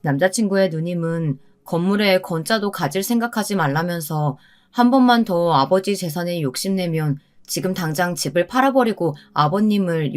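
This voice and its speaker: Female narrator, scripted first-person drama story, slightly low pitch, soft music bed.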